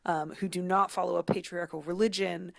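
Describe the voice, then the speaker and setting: A woman giving conversational analysis as a guest commentator, thoughtful and halting as she searches for words. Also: lowish voice, steady tone, gravelly, no echo, studio quality.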